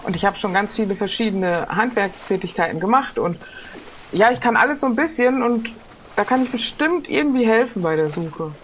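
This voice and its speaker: A woman's voice: mid-range voice, lively intonation, a little fast, a little hiss or hum, lightly acted. A woman playing a lanky, eager young would-be pirate, earnest and plain-spoken in an improvised role-play. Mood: eager, unsure.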